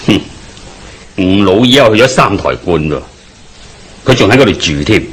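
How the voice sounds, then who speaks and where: A man playing an earnest, eager avenger in a Hong Kong Cantonese radio drama, speaking insistently with a husky voice. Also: fairly low pitch, lively intonation, normal speed, a little hiss or hum, lightly acted. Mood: watchful, urgent. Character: earnest, eager adult avenger of a dead father.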